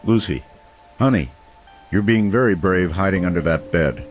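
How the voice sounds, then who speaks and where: Weary male radio host played by an actor, low and somber, consoling, muffled broadcast sound with music underneath. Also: animated, normal speed. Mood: gentle, urgent. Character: weary doomed male late-night radio host, steady and caring. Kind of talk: radio drama, late-night call-in show monologue.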